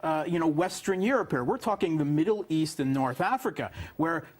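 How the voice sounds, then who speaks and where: Higher-pitched, husky male political commentator. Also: animated, a little fast. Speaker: panel guest, political commentator.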